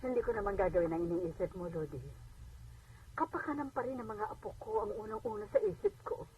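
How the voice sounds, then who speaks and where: A woman's voice: medium pitch, animated, fairly quick, creaky, darkish tone, slightly rough, heavily acted. A woman playing a humble, generous elderly grandmother in a Filipino radio drama, coaxing and hesitant in manner. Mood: apologetic, gentle. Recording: distant, noisy AM radio playback.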